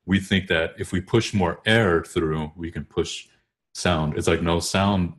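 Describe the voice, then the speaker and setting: A man's voice: low voice, fairly even intonation, unhurried. A man explaining vocal technique casually, often rephrasing himself as he goes. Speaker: choral bass singer discussing vocal technique.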